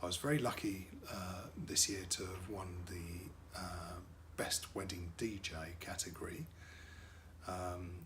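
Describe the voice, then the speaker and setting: A man's voice: sits low, steady tone, measured pace, fairly bright tone, slight background noise. A middle-aged man, a wedding DJ and MC whose first language is French, talking casually about his work with lots of fillers and repeated words.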